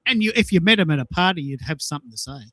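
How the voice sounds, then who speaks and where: A man's voice: a little high, lively intonation, rapid-fire, sharp tone, no echo. A man hosting a casual audio chat, telling personal anecdotes in an emphatic way and repeating himself for effect. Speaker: host of a social audio show.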